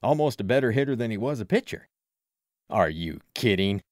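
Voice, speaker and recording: Man doing a loud, burly small-town sports fan. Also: lowish voice, animated, brisk, dry recording, clean studio sound, natural voice. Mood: chummy, opinionated. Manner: loud, punchy, colloquial. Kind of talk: audiobook character voice.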